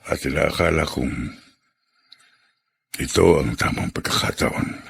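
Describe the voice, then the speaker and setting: A Filipino actor playing an ailing, remorseful father in a radio drama, grave, halting and earnest. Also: rumbling low, vocal fry, a bit bright, slightly rough, heavily acted. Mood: grave, pleading. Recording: music under the voice.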